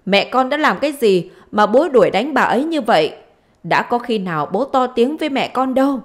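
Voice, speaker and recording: Audio story role: schoolgirl daughter, played by a woman, indignant protest, raised lively pitch. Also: moderate pace, natural voice. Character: indignant schoolgirl daughter defending her mother.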